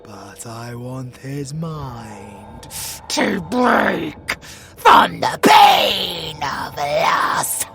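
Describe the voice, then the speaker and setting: Gloating evil supervillain, menacing, pitch swinging all over, music behind him, audio roleplay. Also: male voice, very animated, creaky, a bit bright, shouting, full character voice. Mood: sadistic. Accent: British. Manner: theatrical, taunting, drawn-out delivery. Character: sadistic supervillain with psychic powers, menacing and gleeful. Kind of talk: villain audio roleplay, dramatic acting.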